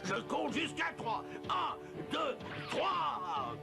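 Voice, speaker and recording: An actor playing a sly, hungry big bad wolf in a cartoon, gravelly and theatrical, wheedling and blustering. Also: male voice, very high voice, very animated, extremely slow, full character voice. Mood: threatening, impatient. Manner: theatrical, mock-polite wheedling turning to threats. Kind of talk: exaggerated cartoon dub acting. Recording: music behind the voice.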